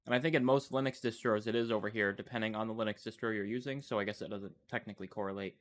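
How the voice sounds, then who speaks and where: A man's voice: fairly low pitch, fairly even intonation, racing. A man with a congested, stuffy voice, casually commenting as he clicks through a computer demo and thinks aloud. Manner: thinking aloud, loose and unscripted. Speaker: tech channel host. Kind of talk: casual screen-recording commentary.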